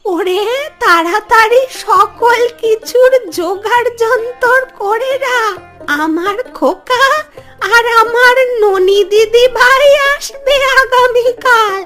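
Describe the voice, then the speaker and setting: A woman's voice: extremely high pitch, slow pace, pushed and bright, full character voice. A woman voicing a doting, sentimental old village grandmother in a Bengali cartoon, emotional and commanding with servants. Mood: excited, bossy. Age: older. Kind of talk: Bengali fairy-tale cartoon acting. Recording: music under the dialogue.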